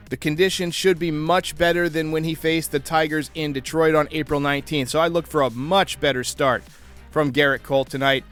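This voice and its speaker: A man's voice: on the high side, fairly bright tone. A man hosting a baseball fan show, delivering sports commentary and previews. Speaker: host of a baseball fan channel.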